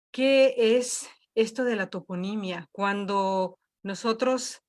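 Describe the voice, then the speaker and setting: A woman's voice: medium pitch, a bit bright. Hesitant woman teaching a workshop. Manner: hesitant, many fillers and restarts. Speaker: workshop instructor. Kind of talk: online cultural workshop. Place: home.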